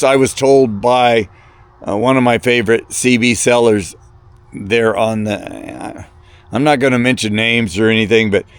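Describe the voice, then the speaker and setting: A man talking casually about his hobby in a rambling, hesitant way, halting and repeating words as he goes. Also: medium pitch. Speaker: hobbyist video presenter.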